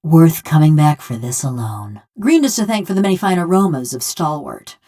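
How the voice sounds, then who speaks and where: A sardonic video game construct, a rough country killer nursing a grudge. Her voice sits a little low for a woman and moves in wide, lively swings. She speaks in folksy, ungrammatical phrases with a taunting, bitter edge. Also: normal speed. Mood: dry, wry. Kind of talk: video game character dialogue.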